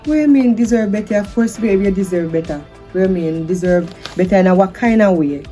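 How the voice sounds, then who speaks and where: A woman's voice: mid-range voice, brisk, dark tone, faint music behind, natural voice. A woman playing a defensive, anxious wife who keeps repeating her questions. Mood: puzzled. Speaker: wife in a domestic drama skit. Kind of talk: improvised-sounding skit acting.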